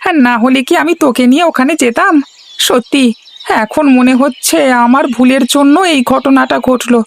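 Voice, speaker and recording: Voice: high voice, steady tone, normal speed, gravelly, slight background noise. An actor playing a loyal, earnest young village friend in a cartoon. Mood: regretful. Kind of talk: Bengali cartoon voice acting. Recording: music behind the voice.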